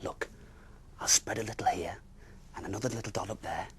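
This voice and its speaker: A man's voice: on the low side, fairly quick, a bit bright, breathy edge. A man voicing a good-hearted, slightly flustered father bear in a bedtime story. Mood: earnest.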